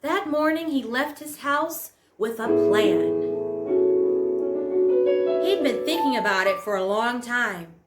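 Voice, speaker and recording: A woman narrating a children's story as host, with an expressive, deliberate storyteller delivery. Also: a little high. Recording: roomy echo under prominent music. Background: guitar and piano music score.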